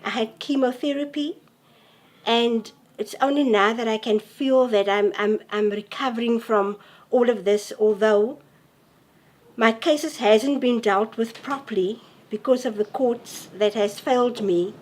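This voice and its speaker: A woman speaks in a slightly high voice. Her words come slowly and earnestly, with pauses and restarts. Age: 40s. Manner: halting, earnest, soft-spoken. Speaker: interviewee. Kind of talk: personal testimony to camera.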